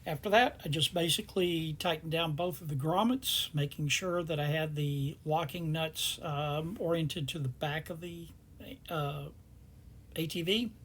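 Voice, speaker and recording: A man hosts a do-it-yourself tutorial in a somewhat high-pitched voice. He narrates in a plain, step-by-step way, explaining the job as he goes. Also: steady tone, unhurried, a bit bright. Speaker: DIY tutorial host.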